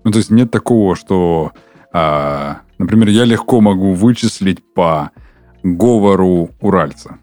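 A man hosting a podcast, asking questions in a relaxed, colloquial way. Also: sits low, measured pace, no echo, soft background music. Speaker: podcast host. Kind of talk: casual interview talk.

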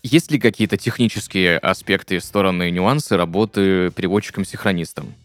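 A man's voice: sits low, brisk, fairly bright tone, no echo, soft background music. A male interviewer asking polite, short questions.

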